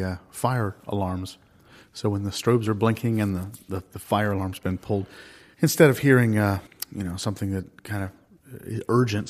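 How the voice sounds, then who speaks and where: A man with a fairly low voice riffs in improvised comedy. He speaks in a halting, musing way and keeps a mock-serious, deadpan face on every idea. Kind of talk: improvised deadpan comic banter.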